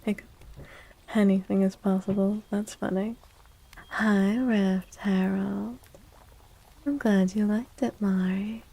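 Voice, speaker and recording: A woman livestreamer doing soft-spoken ASMR, gently chatting to viewers in a hushed voice that often slips into whispers, close to the microphone. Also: medium pitch, steady tone, slow, long pauses, rough recording. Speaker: livestreamer hosting an ASMR stream.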